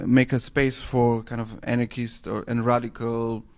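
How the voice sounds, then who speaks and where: A man from Serbia, speaking English as a second language, answering radio interview questions with many pauses and fillers. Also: mid-range voice, little pitch movement. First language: Serbo-Croatian. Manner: hesitant, many fillers and restarts. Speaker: interview guest, anarchist newspaper editor.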